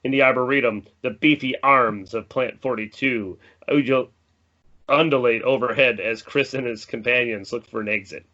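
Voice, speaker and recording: A man's voice: medium pitch, steady tone, moderate pace, sharp tone. Man narrating action, slightly dull recording. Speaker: stage directions reader. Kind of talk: scripted narration of a screenplay table read.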